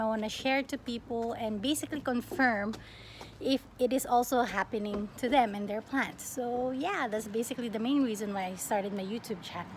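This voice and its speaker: A young woman from the Philippines chatting casually as a plant vlogger, expressive and often breaking off mid-sentence. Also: fairly high pitch. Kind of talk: casual get-to-know-me question-and-answer chat. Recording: clearly noisy home recording.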